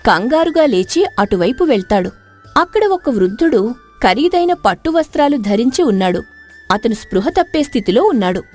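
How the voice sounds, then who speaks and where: A woman's voice: fairly high pitch, sing-song, moderate pace, darkish tone. A woman storyteller reading a scripted moral story as narrator. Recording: dry studio narration over music.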